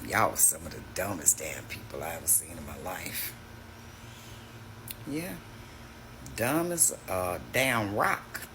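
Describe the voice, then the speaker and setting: A man's voice: sits low, lively intonation, slow pace, long pauses, noisy background. A man hosting a reaction video, talking casually in a mocking, slangy way.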